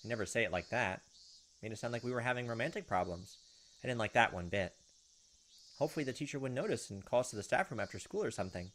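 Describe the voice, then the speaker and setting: A man's voice: mid-range voice, expressive, fast, a bit bright, a little hiss or hum. A man hosting a let's play, reading a visual novel aloud and commenting casually as he goes.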